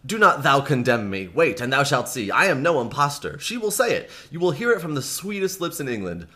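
A man's voice: medium pitch, very animated, brisk, sharp tone, voice pushed up. A man playing an impassioned young nobleman. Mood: pleading. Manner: archaic speech, impassioned and pleading. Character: earnest young nobleman returning home, dramatic.

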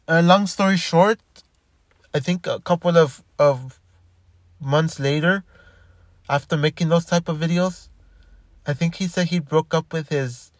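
A man with a slightly high voice rambles through a casual monologue, stumbling over his words and starting sentences again. The sound is dry and close, with no room echo. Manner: rambling, frequent restarts and repeated words. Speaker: commentator.

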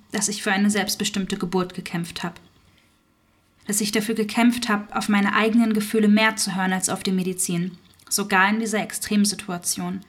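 A woman's voice: medium pitch, echoey room, close to an everyday voice. A woman voicing an outspoken young mother recounting her birth, in a theater radio play monologue. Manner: forceful, outspoken.

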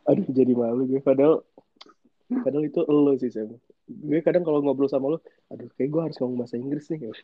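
Young guy w jakarta accent, teasing slangy podcast banter, normal pitch, bit dull lofi sound. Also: fast, dry recording. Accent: Jakarta Indonesian. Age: young adult. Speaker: podcast co-host. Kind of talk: casual bantering chat.

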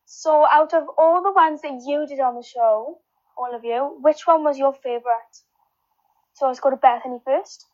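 A woman's voice: high-pitched, moderate pace, lo-fi sound. A woman co-hosting a podcast, interviewing guests in an upbeat, encouraging way. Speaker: podcast co-host.

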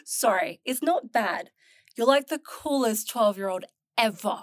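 A blunt, sarcastic young girl in a fantasy audio drama , acted by a woman. The voice is high and swings widely in pitch. The delivery is jittery and informal, with things blurted out. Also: expressive, measured pace, no room sound, clean studio sound. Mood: amused, curious.

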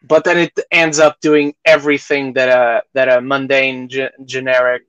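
A man hosting a podcast, chatting casually through film reviews, haltingly repeating phrases and acting out imagined reactions. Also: medium pitch, level tone, a bit bright, clean tone, no echo, muffled recording. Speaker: podcast host reviewing films.

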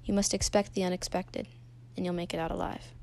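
Woman acting loyal captive female friend, earnest, reassuring, mellow dark tone, audio story. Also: lowish voice, little pitch movement, a little fast, creaky, slight background noise. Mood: resigned. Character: devoted, trapped female companion Morgan, gently urging.

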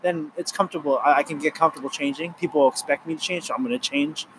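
A young man in his twenties talks in a loose, rambling way, circling back over his points with lots of "you know" and "like." His voice sits a bit high for a man, and a faint hiss runs under the recording. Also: steady tone, fast talker. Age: 20s. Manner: rambling, earnest, many fillers and restarts. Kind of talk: casual chat.

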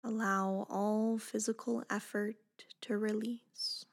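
A woman leads a guided meditation in a soft, breathy voice, giving gentle, unhurried instructions with phrase endings that fall close to a whisper. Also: medium pitch, little pitch movement.

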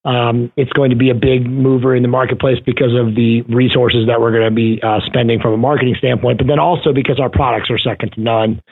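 A man's voice: mid-range voice, flat delivery, quick pace, vocal fry, hardly any pauses, breathy edge. Man in his forties over a tinny phone line. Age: 40s. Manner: rambling, many fillers and restarts. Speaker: podcast guest. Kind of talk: casual interview talk.

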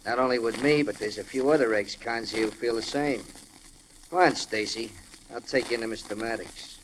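An actor playing a blunt, menacing ex-convict butler with slangy, needling talk in 1940s radio style. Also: male voice, mid-range voice, fairly quick, lightly acted. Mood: quietly menacing. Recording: noisy old-time radio recording.

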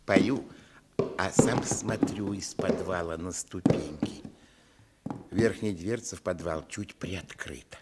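A man acting as the reflective narrator of a radio play, recalling a village boyhood in lyrical, measured storytelling. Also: low voice, very noisy. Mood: hushed, tender. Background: music.

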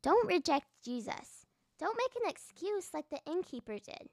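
A woman's voice: extremely high pitch, sing-song, moderate pace, no echo, clean studio sound. A female podcast host narrating and discussing a Bible story for children.

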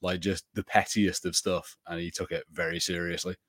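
A man's voice: low-pitched, moderate pace, dry recording, clean studio sound. A man co-hosting a casual podcast, talking in long rambling turns full of "like". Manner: rambling, many "like" fillers, animated. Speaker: podcast co-host. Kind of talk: casual chat.

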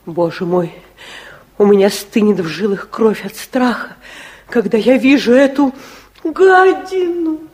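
An actress playing a genteel, fearful and spiteful matron with a theatrical, gushing manner in a 1960s Soviet radio play. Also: female voice, fairly high pitch, animated, a little slow, darkish tone. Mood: horrified, hateful.

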